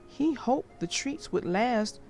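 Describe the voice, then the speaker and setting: A woman narrating a children's picture book aloud in a gentle, expressive storytelling manner. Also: a little high, slow, soft background music.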